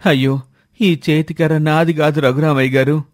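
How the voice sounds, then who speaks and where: A man's voice: fairly high pitch, steady tone, moderate pace, dry recording, clean studio sound, lightly acted. A man playing an anxious, pleading villager with a plaintive manner in a moral story. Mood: defensive, nervous. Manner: plaintive, faltering when cornered. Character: anxious, pleading villager lying about his stolen walking stick. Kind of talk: acted dialogue in a moral story.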